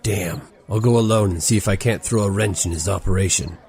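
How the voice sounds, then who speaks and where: Guy doing a gruff dry warrior, lowish mellow voice, short answers. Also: brisk. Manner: dry, terse. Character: gruff, dry-humored male warrior companion.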